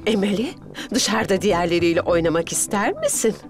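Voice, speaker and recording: Actress for encouraging cartoon schoolteacher gazelle, female, mid-pitched and highly expressive, music underneath. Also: a little fast, close to an everyday voice. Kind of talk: children's cartoon dubbing. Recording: music behind the voice.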